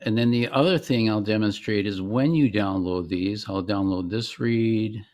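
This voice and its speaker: A man presenting a software demo in an informal, conversational way, as the founder showing his product on screen. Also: lowish voice, moderate pace. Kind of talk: informal screen-share demonstration.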